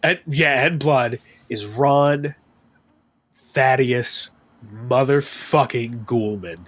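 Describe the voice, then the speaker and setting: A man with a stuffy nose, chatting on a podcast about his tabletop characters, animated and full of swearing. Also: medium pitch. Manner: animated storytelling, swearing, quick asides. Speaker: podcast guest. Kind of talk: casual chat about tabletop gaming.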